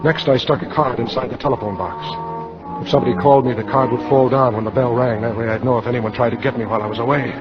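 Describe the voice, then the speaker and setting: A man's voice: mid-range voice, fast talker, close to an everyday voice. An American man acting a hard-boiled insurance salesman confessing to murder in a terse, matter-of-fact 1950s radio style. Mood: grim, confessional. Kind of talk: 1950s radio drama acting, first-person noir narration. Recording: dull old radio broadcast, loud music behind.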